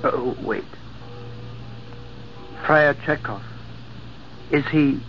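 An actor playing a gentle monk who speaks in a measured, solemn manner, in 1940s radio acting on a dull old recording. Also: male voice, mid-range voice, creaky, soft background music. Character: gentle middle-aged monk, quietly solemn.

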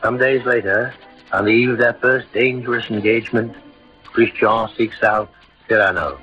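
A man narrating a scripted radio drama in a measured, dramatic mid-century storytelling style. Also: on the low side, steady tone, faint music behind. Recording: dull old radio recording.

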